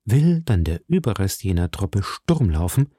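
A man voicing a composed, dry-witted musketeer leader in an audiobook, speaking calmly and deliberately with an indifferent air. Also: on the low side, breathy edge, dry recording, full character voice. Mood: commanding.

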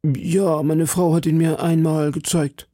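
A man's voice: fairly high pitch, little pitch movement, measured pace, warm tone, dry recording, clean studio sound, pitched above the performer's own voice. A man voicing a timid, deferential middle-aged landlord in an audiobook, hesitant and formally polite. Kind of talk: audiobook character dialogue.

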